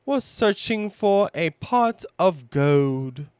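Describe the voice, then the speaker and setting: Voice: mid-range voice, animated, takes it slowly, darkish tone, clear, resonant tone, no room sound, studio quality, voice pushed up. A narrator voicing a naive, hopeful male bear who loves honey, in a children's storybook. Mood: friendly.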